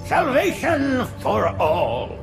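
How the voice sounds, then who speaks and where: An actor voicing an elderly, pious alien prophet with a florid, sanctimonious delivery in a video game cutscene. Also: male voice, huge pitch swings, slow pace, over loud music, heavily acted. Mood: reverent. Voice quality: high, creaky.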